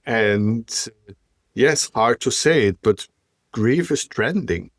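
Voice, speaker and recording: A man's voice: medium pitch, lively intonation, slow pace, no echo, clean studio sound. A man hosting a podcast in casual chat, rambling and stumbling over his words while searching for them. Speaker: podcast host.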